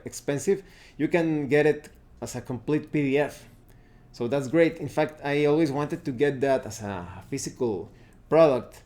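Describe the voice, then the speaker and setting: A man giving a casual, unscripted review of roleplaying games, pausing now and then to search for words. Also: mid-range voice, mellow. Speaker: tabletop roleplaying game reviewer.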